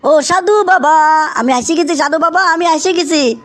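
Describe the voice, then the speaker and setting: A man with a Bangladeshi accent voicing an excitable young cartoon bachelor desperate for fame, whiny and pleading, repeating himself emphatically. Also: very high-pitched, unhurried. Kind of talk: exaggerated comic cartoon acting.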